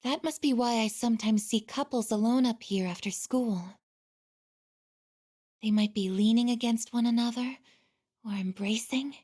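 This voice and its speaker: A woman playing a gentle, earnest android girl in a video game, speaking politely and formally with soft introspection. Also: a little high, normal speed, pauses often, close to an everyday voice. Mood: wistful, sad.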